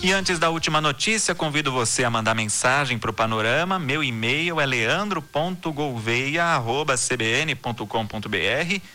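A man hosting a news podcast from Brazil, reading the day's stories and listener messages in a steady, measured newsreader delivery. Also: medium pitch, music in the background.

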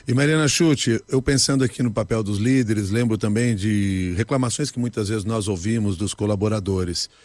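A man hosting an interview, asking questions in a measured, formal way. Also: on the low side, darkish tone, no room sound. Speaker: interview host.

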